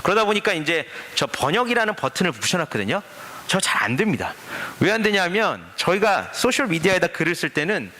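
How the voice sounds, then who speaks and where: Lively, bright-voiced man giving a talk. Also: high-pitched. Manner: lively explanatory talk with rhetorical questions. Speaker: forum speaker. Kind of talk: conference presentation. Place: conference stage.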